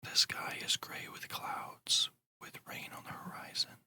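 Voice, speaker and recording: Casting: ASMR meditation guide who whispers throughout, crisp and breathy, with slow, deliberate, soothing delivery. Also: bright, forceful tone. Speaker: ASMR channel host and meditation narrator.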